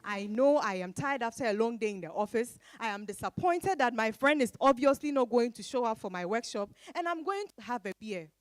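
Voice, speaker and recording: A woman's voice: a little high, animated, fairly quick, hardly any pauses, no room sound, natural voice. A woman playing an independent-minded, weary professional woman in a dramatized reading. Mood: weary, disappointed. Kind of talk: dramatized stage-style reading.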